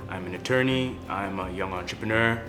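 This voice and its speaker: A man, an attorney, introducing himself and speaking in a measured, deliberate way on a panel. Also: sits low. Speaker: attorney and entrepreneur. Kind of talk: discussion. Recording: music playing behind.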